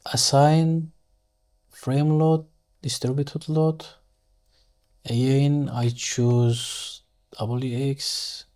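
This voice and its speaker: Turkish guy walking thru a software tutorial, really slow, stop and start, mid pitch, fixes himself as he talks. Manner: halting, self-correcting, apologetic, thinking aloud. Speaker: engineering software tutorial instructor. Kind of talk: unscripted screen-share tutorial, step-by-step explanation.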